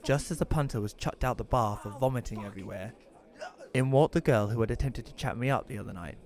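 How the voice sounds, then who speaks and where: A man's voice: mid-range voice, fast talker, a little mellow, natural voice. A man playing an awkward, apologetic widower telling his story in an audio drama, with a hesitant, self-conscious manner. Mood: storytelling. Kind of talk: first-person audio drama narration and dialogue.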